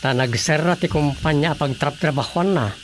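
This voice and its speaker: A man acting an indignant, grumbling villager in an Ilocano-accented radio drama comedy, with a creaky voice and emphatic delivery. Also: on the high side, normal speed, a little hiss or hum, close to an everyday voice. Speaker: voice actor.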